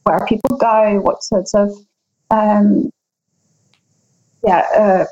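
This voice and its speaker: A woman researcher answering a panel question, thoughtful and hesitant, with many pauses and fillers. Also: medium pitch, steady tone, dark tone, highs cut off. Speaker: panel guest, field researcher.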